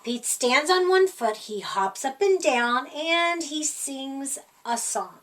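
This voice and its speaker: A middle-aged woman reads a children's picture book expressively, as if to small children at a library storytime. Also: high-pitched. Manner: animated, slow, deliberate storytelling for small children. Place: small room indoors.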